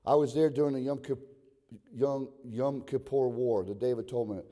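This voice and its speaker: A man's voice: medium pitch, normal speed, dark tone. A man in his sixties preaching a sermon, moving between conversational talk and emphatic exhortation, repeating phrases for emphasis. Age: 60s. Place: large reverberant room, preaching to a congregation. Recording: reverberant hall sound.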